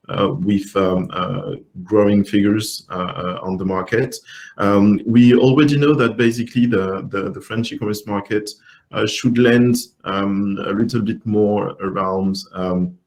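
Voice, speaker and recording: A man answering as a panelist in a business webinar, halting and full of hesitations. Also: lowish voice, a little mellow. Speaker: country manager, panelist.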